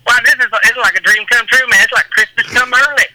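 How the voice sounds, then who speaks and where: A man's voice: extremely high pitch, fast talker, background noise. A man calling in to a talk show, chatting casually in a folksy way over a tinny phone line. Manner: folksy, says "man" often. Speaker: phone-in caller and longtime listener. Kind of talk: casual call-in chat.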